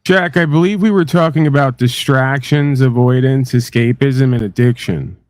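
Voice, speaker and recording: Male panelist, smooth clear voice, dry close sound, insistent and lecturing. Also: mid-range voice, moderate pace, a little mellow, dry recording. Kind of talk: casual argumentative chat.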